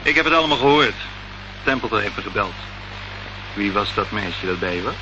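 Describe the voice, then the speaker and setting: A deep, conversational man's voice from an actor as a concerned, inquisitive associate in a radio play. He is slightly hesitant. The sound is muffled, with plain background noise from an old recording. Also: expressive, fairly quick, close to an everyday voice. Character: concerned, inquisitive male associate of a private investigator. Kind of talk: Dutch radio play acting.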